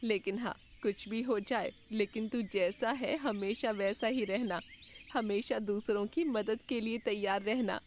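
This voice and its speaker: A woman's voice: mid-range voice, steady tone, measured pace, a little hiss or hum, close to an everyday voice. A woman voicing a kindly magical old woman in a cartoon fairytale, speaking in a soothing, motherly way. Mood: benevolent, assuring. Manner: soothing, motherly, addresses listener as son. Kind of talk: Hindi cartoon fairytale acting.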